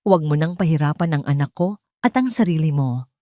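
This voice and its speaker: A woman's voice: low-pitched, animated, brisk, mellow, no echo, clean studio sound. A woman voicing a haughty older rich mother-in-law, hard, cold and disdainful, in an audio drama. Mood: icy disdain.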